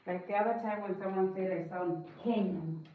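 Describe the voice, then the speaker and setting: A woman's voice: medium pitch, moderate pace. A woman hosting a live cooking stream, greeting viewers in a chatty, welcoming way and laughing often. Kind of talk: casual chat with viewers. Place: home kitchen. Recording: very echoey, distant, muffled, faint hum.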